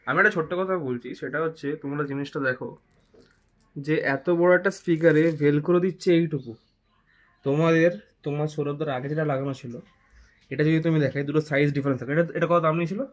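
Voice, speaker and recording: Guy explaining how to fit gear, talks really fast, kinda high voice, stresses his points. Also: steady tone. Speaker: co-presenter demonstrating installation. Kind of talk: casual explanatory tutorial.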